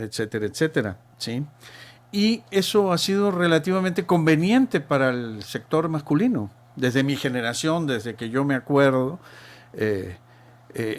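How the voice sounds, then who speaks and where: A middle-aged man with a Chilean accent, speaking as a guest on a panel. His voice sits at an ordinary pitch. He talks in a measured way, often repeating a word while he looks for the next one. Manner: measured, repeats words while searching for terms. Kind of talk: panel discussion.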